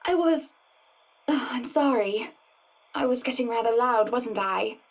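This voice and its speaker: A woman's voice: a little high, measured pace. An actress playing a polite, anxious young lady of a mansion in a video game, speaking genteelly.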